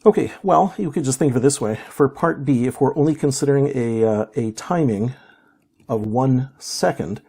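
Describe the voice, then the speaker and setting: A man with a slightly hoarse, raspy voice works through physics problems aloud, thinking as he goes, with many fillers and restarts. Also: mid-range voice, moderate pace, warm tone. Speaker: physics teacher. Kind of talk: unscripted worked-problem walkthrough.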